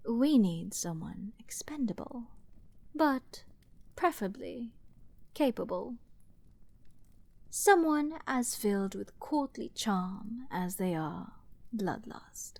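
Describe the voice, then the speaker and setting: Woman acting a slow-talking arrogant scheming princess. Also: on the high side, animated, creaky, darkish tone, exaggerated voice. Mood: imperious, scheming. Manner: haughty, theatrical, slow and teasing. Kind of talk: dramatic fantasy audio roleplay with ASMR whispering.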